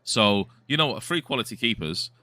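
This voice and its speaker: A man's voice: medium pitch, animated, moderate pace, pushed and bright, no echo. A man co-hosting a live futsal stream, chatting casually and reading viewer comments aloud. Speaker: host and co-commentator.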